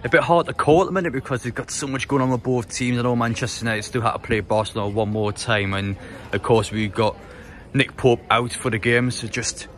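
A man's voice: mid-range voice, a little fast. A man interviewing football fans on the street, asking long rambling questions. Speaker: interviewer, YouTuber. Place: city street outdoors.

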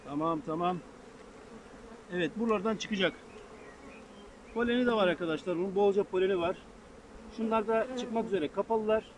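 A man's voice: high voice, a little fast, long pauses, a little hiss or hum. Brief: man with a raised, light voice, hands-on beekeeping teacher talking as he works, faint buzzing field behind. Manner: practical, addresses viewers as friends, thinks aloud while working. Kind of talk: casual outdoor how-to lesson. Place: open field beside beehives, outdoors. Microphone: far camera on a tripod.

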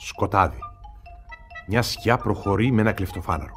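A man narrates a radio play from a script. His voice is low, with lively intonation, and music plays clearly behind him.

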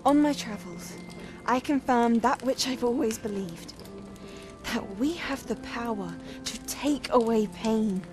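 A woman's voice: fairly high pitch, expressive, a little slow. An earnest young witch. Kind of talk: video game dialogue. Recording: game audio with music.

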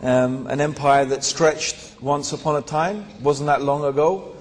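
A man's voice: fairly high pitch, fairly even intonation, measured pace. Man speaking in a reverberant hall. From born in Tanzania, raised in England. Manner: storytelling, rhetorical asides, many fillers. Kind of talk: personal story talk to an audience. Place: large hall with seated audience. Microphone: handheld microphone.

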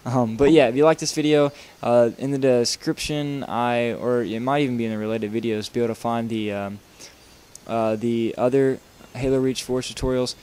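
A man's voice: medium pitch, fairly even intonation, normal speed, a little mellow, clean tone. A young man talking casually over his gameplay while showing how to build a track, rambling with lots of fillers and restarts. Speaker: video game tutorial maker.

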